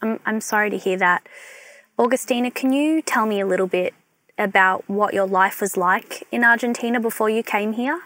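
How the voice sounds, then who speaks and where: Bright, gentle woman interviewer. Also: mid-range voice, normal speed. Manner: gentle, polite questioning. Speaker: producer, interviewer. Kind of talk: phone interview.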